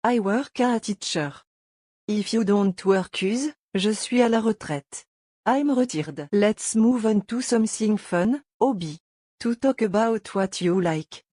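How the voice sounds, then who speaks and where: A woman with a warm, mellow voice runs a scripted beginner lesson. She explains each point patiently and step by step. The recording is dry and close. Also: medium pitch, no echo. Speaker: language teacher.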